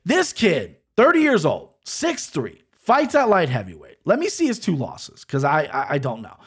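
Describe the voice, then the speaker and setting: A man's voice: fairly high pitch, wildly swooping pitch, moderate pace, breathy edge. A man breaking down fight betting lines in a casual way. He is brash and profane, and he rambles. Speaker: sports betting picks host.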